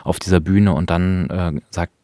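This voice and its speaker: A man's voice: deep voice, steady tone, normal speed, warm tone, no echo, studio quality. A man co-hosting a radio discussion of literature in a reflective way. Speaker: radio co-host. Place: radio studio.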